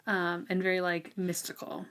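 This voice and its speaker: Woman chatting about a book, slightly low voice, informal, says like a lot. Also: completely flat delivery, moderate pace, fairly bright tone. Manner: informal, hedging, often says like. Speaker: co-host of a book discussion show. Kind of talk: casual book discussion chat.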